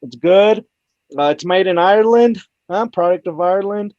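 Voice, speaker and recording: A tipsy man co-hosting a podcast, joking loudly and calling everyone "bro". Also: high voice, moderate pace, dry recording, lo-fi sound. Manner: rowdy, joking, says "bro" constantly. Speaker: podcast co-host. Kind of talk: casual drinking chat.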